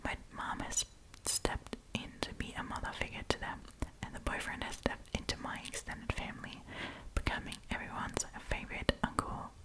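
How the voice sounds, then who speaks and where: A woman hosting an ASMR storytime in a breathy, unvoiced whisper, reading online stories aloud with chatty, informal asides, close to the microphone. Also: moderate pace, low-quality recording. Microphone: close propped-up microphone.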